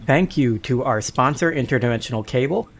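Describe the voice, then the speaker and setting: A man's voice: medium pitch, lively intonation, fairly quick, slight background noise. A man casually hosting a podcast discussion and addressing the listeners. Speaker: podcast host. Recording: music playing behind the voice.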